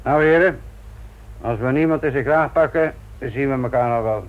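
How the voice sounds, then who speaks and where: A sly police inspector from a radio play, acted by a man, speaks in a medium-pitched male voice. He baits people with sardonic, mock-friendly remarks and a gruff edge. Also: moderate pace, dull audio, close to an everyday voice. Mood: sardonic, needling. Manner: feigned friendliness, sardonic baiting. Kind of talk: radio drama acting.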